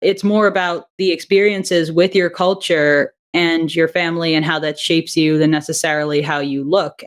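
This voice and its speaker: A woman who writes and directs audio drama, talking through her ideas in a casual interview with fillers and small laughs. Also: deep voice.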